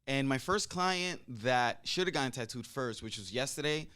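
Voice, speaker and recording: A man's voice: medium pitch, animated, normal speed, pushed and bright, smooth. Laid-back young man swearing and laughing. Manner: loose, laughing, swears freely. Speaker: podcast host, tattoo artist. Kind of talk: casual chat. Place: tattoo shop room. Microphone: handheld mic.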